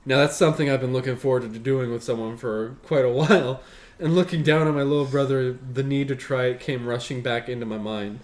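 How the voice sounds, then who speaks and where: A man's voice: mid-range voice, fairly even intonation, a little fast. A man reading a story aloud as a show host, breaking off for casual, sweary commentary.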